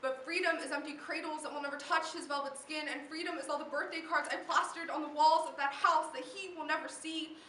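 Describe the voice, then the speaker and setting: A woman's voice: high voice, bright, forceful tone. A teenage young woman performing slam poetry in a dramatic, emphatic recitation that builds through long lists. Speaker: slam poet. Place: live poetry event, recorded from a distance. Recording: distant echoey room recording with noise.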